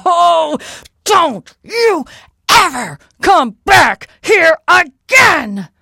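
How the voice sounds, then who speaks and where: A woman's voice: on the low side, lively intonation, slow pace, no echo, clean studio sound. A woman voicing an angry, dim-witted male storybook giant in a blustering, threatening manner. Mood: enraged.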